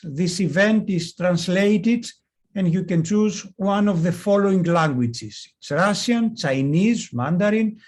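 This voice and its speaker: High-voiced man moderating a webinar. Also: darkish tone. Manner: measured, announcing housekeeping. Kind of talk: formal webinar introduction. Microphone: video call.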